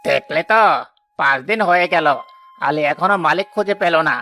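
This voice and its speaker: A man's voice: on the high side, brisk, pushed and bright, faint music behind. A man voicing an envious, suspicious village gossip in a cartoon folk tale, scornful and accusing. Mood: smug. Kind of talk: animated folk-tale dialogue.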